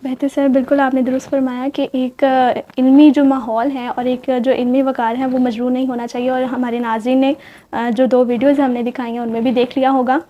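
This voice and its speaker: A woman's voice: high voice, flat delivery, fairly quick, muffled recording. A Pakistani woman co-hosting a literary programme in a polite, formal, deferential style. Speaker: co-host.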